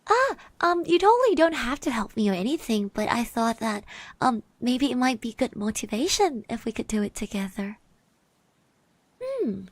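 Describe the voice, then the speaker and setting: A woman playing a shy, friendly schoolgirl classmate in an ASMR roleplay, speaking softly, gently and hesitantly. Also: fairly high pitch, big pitch swings, moderate pace, dry recording. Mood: shy, hopeful. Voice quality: soft, breathy. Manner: hesitant, gentle, with little hums and trailing repeats. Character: shy, friendly high school girl classmate, admiring and easily flustered.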